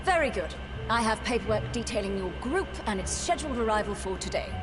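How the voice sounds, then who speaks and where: An actress playing a polite, officious immigration clerk in a video game, formal and businesslike. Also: female voice, mid-range voice, expressive, a little fast, music in the background, natural voice. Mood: polite, official. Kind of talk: video game cutscene acting.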